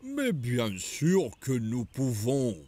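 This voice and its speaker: Haughty, slow-spoken king. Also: mid-range voice, wildly swooping pitch, mellow, exaggerated voice. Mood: haughty, indignant. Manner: haughty, commanding. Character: imperious, entitled king defying religious law. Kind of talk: animated religious drama acting.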